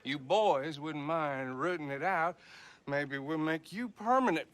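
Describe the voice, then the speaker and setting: High, slow-drawling Southern sheriff. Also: male voice, fairly bright tone. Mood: tipsy, drawling. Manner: folksy, slow and rambling. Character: tipsy, drawling Southern small-town sheriff.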